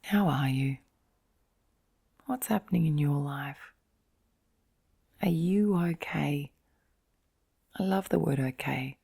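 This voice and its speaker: A middle-aged woman in a soft, whispery, breathy voice, giving a gentle, reflective bedtime chat in an intimate ASMR-like style. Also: sits low, slow pace, long pauses. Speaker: host of relaxation and sleep channel.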